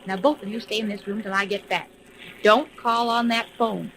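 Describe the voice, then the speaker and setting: A woman playing a brisk, firm and composed private investigator who takes charge in a 1930s radio mystery serial. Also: mid-range voice, steady tone, a bit bright, natural voice. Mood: commanding. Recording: noisy 1930s radio recording.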